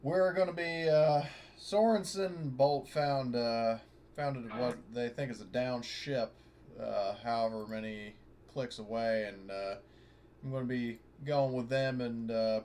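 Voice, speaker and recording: A man's voice: mid-range voice, steady tone, unhurried, a little mellow. A man playing an online tabletop role-playing game, talking casually and hesitantly, often rambling and correcting himself. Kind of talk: casual in-character and out-of-character game talk. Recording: clearly noisy online voice chat.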